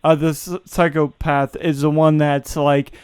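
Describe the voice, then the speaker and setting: A man's voice: fairly high pitch, level tone, a little slow, a little mellow, clear, resonant tone, no room sound, clean studio sound. A man co-hosting a podcast, riffing jokily in casual, slangy chat. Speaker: podcast co-host.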